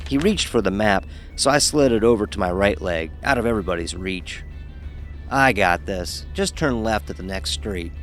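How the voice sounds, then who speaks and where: A low-voiced man acting as a young fraternity pledge tells his story in an audio horror drama. He is wry and sardonic, and music plays quietly beneath him. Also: expressive, moderate pace, lightly acted. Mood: wry storytelling. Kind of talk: scripted first-person horror narration with dialogue.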